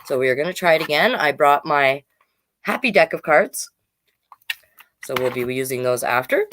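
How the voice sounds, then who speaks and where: A woman with a voice pitched a little low hosts a storytime livestream for children. She chats casually with viewers and reads facts aloud. Her delivery wanders, with asides and self-corrections along the way. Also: expressive, moderate pace, fairly bright tone, a little hiss or hum. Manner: chatty, easily sidetracked, self-correcting.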